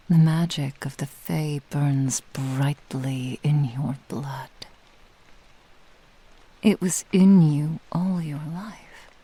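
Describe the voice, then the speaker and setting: A woman voicing a possessive, seductive fox-spirit witch in a whispered ASMR roleplay, teasing and menacing in a breathy, husky murmur. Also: sits low, dark tone, full character voice, poor audio. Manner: slow, teasing, purring menace.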